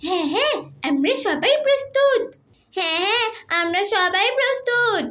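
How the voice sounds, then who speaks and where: A woman's voice: extremely high pitch, expressive, moderate pace, pushed and bright, exaggerated voice. A woman voicing an earnest small child in a cartoon, speaking in an instructive, emphatic way. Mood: chanting, excited. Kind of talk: cartoon dialogue.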